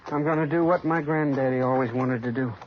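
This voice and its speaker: A man's voice: medium pitch, fairly quick, natural voice. An actor playing a proud, determined American farm boy in an old radio western. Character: proud, stubborn farm boy, determined young horse breeder. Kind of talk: 1950s radio western acting. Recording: muffled old radio recording, faint noise.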